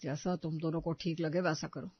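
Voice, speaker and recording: A man's voice: a little high, flat delivery, a little fast, breathy edge, highs cut off. A man playing a grumbling but indulgent middle-aged husband and father in a moral story.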